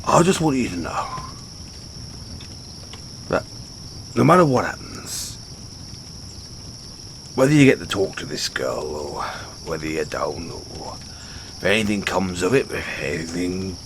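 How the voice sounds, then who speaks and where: Man playing a slow, halting orc barbarian. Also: sits low, expressive, background noise. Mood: earnest, bashful. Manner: halting, fumbling speech with sheepish stammers. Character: gruff, good-hearted male orc barbarian, bashful loyal brawler. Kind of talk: fantasy audio roleplay, intimate one-sided dialogue. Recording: outdoor night ambience with crickets behind.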